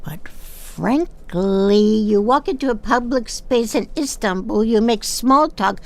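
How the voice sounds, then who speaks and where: An older woman playing a chatty, nosy widowed seamstress in her sixties in an audio drama, with a folksy, rambling, halting delivery. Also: fairly high pitch, expressive, gravelly, heavily acted. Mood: chatty, defensive. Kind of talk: naturalistic audio drama acting.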